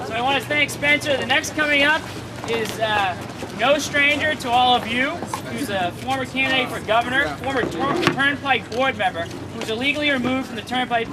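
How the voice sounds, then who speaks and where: A man loudly introducing the next speaker at an outdoor rally without a working microphone. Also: very high-pitched, far from the mic. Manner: loud and projecting. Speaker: rally emcee. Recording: outdoor rally with loud traffic noise.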